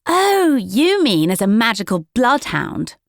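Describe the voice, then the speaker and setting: A young witch sneers her way through a storybook, as played by a woman reader. Her voice is pitched up, theatrical and full of mockery. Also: big pitch swings, a little slow, creaky, no room sound, studio quality, exaggerated voice. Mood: mocking. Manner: mocking, theatrical. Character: mocking, smirking teenage sister witch.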